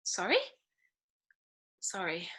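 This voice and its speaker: An actress playing a hapless, hungover divorcing woman in her late twenties, halting and flustered, in a table read over a video call. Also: lowish voice, expressive, bright, forceful tone, heavily acted. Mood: flustered, apologetic. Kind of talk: acted script table read.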